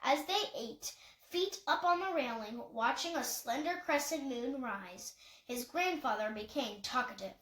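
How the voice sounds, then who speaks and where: A woman's voice: high voice, lively intonation, measured pace, a bit bright, reverberant, rough recording. A woman reading a novel aloud as scripted audiobook narration. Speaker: audiobook reader.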